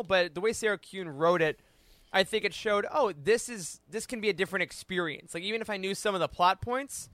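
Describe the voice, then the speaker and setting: A man's voice: high-pitched, sharp tone, dry recording. A man giving his opinions in a casual roundtable discussion as a podcast panelist.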